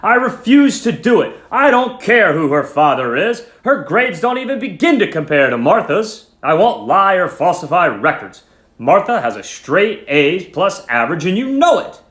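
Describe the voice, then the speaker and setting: Indignant, principled male schoolteacher, acted by a male story reader; high, swooping, emphatic delivery in a roomy space. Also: big pitch swings, normal speed, a bit bright. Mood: angry, defiant. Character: angry principled male history teacher refusing to cheat. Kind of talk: dramatic short-story reading. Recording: roomy echo.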